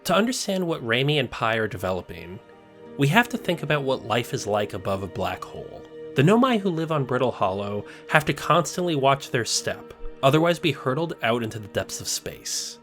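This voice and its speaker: A man narrating a scripted video essay, explaining a story step by step in a measured way. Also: mid-range voice, very animated, a bit bright, soft background music.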